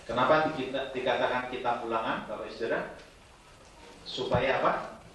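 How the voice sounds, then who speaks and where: A man's voice: medium pitch, normal speed, fairly bright tone, a little hiss or hum. A middle-aged man preaching a sermon to a congregation, joking playfully with them. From Indonesia. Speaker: guest preacher. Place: church hall. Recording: roomy church sound from a distant mic.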